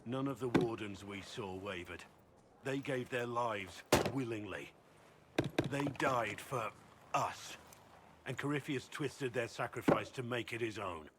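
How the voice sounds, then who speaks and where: A man's voice: mid-range voice, a little slow, heavy background noise, close to an everyday voice. An actor playing an earnest male Grey Warden speaking in a reflective, solemn way.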